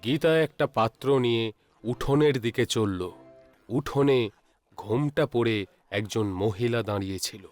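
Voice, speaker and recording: A man narrating a scripted horror story in a measured, dramatic storytelling manner. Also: mid-range voice, soft background music.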